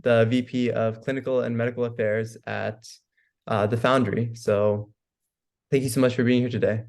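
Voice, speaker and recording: A man's voice: mid-range voice, little pitch movement, moderate pace, vocal fry, a little mellow. Young man hosting, hesitant. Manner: hesitant, full of fillers like "like" and "I guess". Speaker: podcast host. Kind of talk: casual interview.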